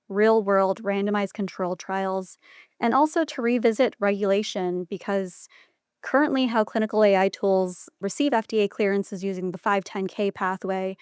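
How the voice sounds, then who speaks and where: A woman speaking as a physician guest in an interview, giving considered answers in measured, deliberate phrases. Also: medium pitch, a little mellow, clean tone, no echo, clean studio sound.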